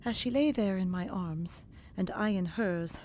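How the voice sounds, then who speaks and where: A woman narrating a gothic novel in the first person, expressive and dramatic, dropping to whispers in the hushed passages. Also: medium pitch, measured pace, a little hiss or hum.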